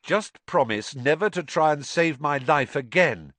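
A man voicing an earnest, polite schoolboy wizard who speaks in a hurried way. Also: on the high side, animated, fairly bright tone, no echo.

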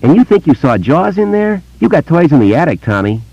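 A man voicing a curious, excitable small-town boy who talks in a quick, slangy way. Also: fairly high pitch, breathy edge, no echo, voice pushed up, exaggerated voice. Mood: excited. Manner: breathless, excitable, slangy kid talk. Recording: dull lo-fi dry recording.

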